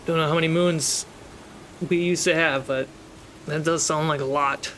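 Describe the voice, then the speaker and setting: A man working as game master, narrating a tabletop fantasy game with deliberate, dramatic storytelling pauses. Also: on the high side, fairly even intonation, fairly bright tone, slight background noise. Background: storm and ship sound effects.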